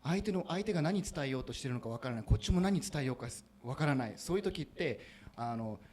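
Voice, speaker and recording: A man moderating a bilingual panel at an event, quickly interpreting audience remarks into Japanese with animated energy. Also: medium pitch, breathy edge. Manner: fast, animated, laughing often. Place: event hall.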